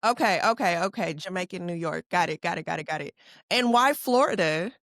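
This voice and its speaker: A young woman from California hosting a casual interview, asking lively follow-up questions and affirming often. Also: on the low side, brisk, dry recording, clean studio sound. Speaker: podcast host. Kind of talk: conversational interview.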